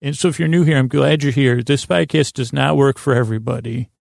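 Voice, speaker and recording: Man, gravelly creak, medium pitch, dry sound, halting meandering sleep-podcast monologue, goofy self-deprecating asides. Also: darkish tone, dry recording. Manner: halting, stumbling, self-interrupting, circling back on words. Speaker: podcast host.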